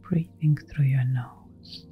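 A woman guiding a relaxation meditation in a soft, breathy half-whisper, giving gentle, soothing instructions with long pauses. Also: very deep voice, fairly even intonation, muffled recording. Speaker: meditation guide. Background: background music.

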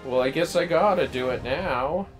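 A man's voice: a little high, moderate pace. A man livestreaming a game with casual, chatty commentary in an easygoing manner. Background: faint music.

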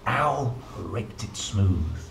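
A man in his thirties reading a picture book to young children, playful and expressive, with chatty asides. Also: on the low side, drawn-out words, creaky. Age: 30s. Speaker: storyteller, host reading children's books. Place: indoor room, seated at a table. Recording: roomy echo, some background noise.

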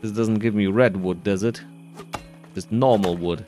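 A man's voice: fairly low pitch, steady tone, unhurried, darkish tone, faint music behind. A man giving casual commentary on his own video game playthrough, muttering to himself as he plays. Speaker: gamer narrating a playthrough.

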